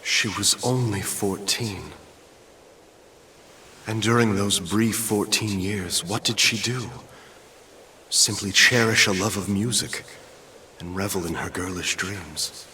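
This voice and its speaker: An actor playing a solemn, wistful man mourning a young girl in a video game cutscene, in measured phrases. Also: fairly low pitch, sharp tone, a little hiss or hum. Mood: mournful.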